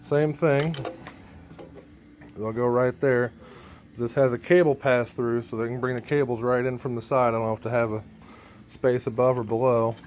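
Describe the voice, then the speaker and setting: A man with clear ambient noise behind him talks through a hands-on gear job. His pitch is mid-range and his delivery is informal, as if thinking aloud while he works. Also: steady tone, moderate pace, darkish tone. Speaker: band member showing a PA rack rebuild. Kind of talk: casual hands-on vlog narration.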